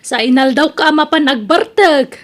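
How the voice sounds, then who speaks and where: A woman plays a devout older mother in an audio drama. Her voice is high, and she admonishes and counsels in an earnest, preachy manner. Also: normal speed, natural voice. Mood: scolding. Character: pious, chiding older mother advising her grown son. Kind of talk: Ilocano audio drama acting.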